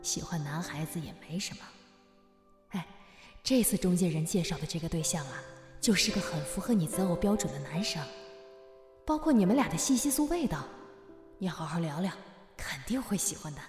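A woman's voice: fairly low pitch, animated, moderate pace, long pauses, darkish tone, close to an everyday voice. Echoey, coaxing middle-aged mother , acted by a woman. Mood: coaxing, fond. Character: coaxing middle-aged mother pushing matchmaking on her son. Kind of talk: modern audio drama acting. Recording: echoey, long reverb, music behind.

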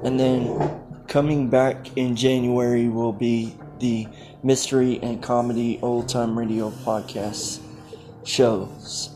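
A man hosting a podcast casually, in halting short phrases. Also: mid-range voice, flat delivery, poor audio. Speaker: podcast host.

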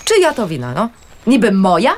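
A woman's voice: mid-range voice, huge pitch swings, brisk. An actress playing an anxious middle-aged wife and mother in a naturalistic radio drama, defensive and sarcastic, with dry, sceptical retorts. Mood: offended, biting.

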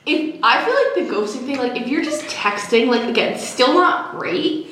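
High, lively young woman, giggly. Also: normal speed. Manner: animated, supportive, giggly. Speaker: podcast co-host. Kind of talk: casual chat. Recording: echoey room, faint noise.

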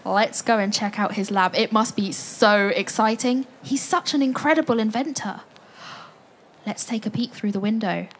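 A woman's voice: mid-range voice, moderate pace, lightly acted. A woman playing an enthusiastic storyteller in a family audio play, inviting listeners into an adventure with a sense of wonder. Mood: excited wonder.

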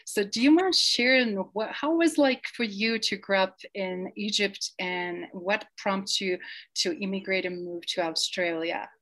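Woman, show host, enthusiastic and encouraging, mid-pitched voice. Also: measured pace, a bit bright. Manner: enthusiastic and encouraging, slightly non-native phrasing. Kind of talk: podcast interview.

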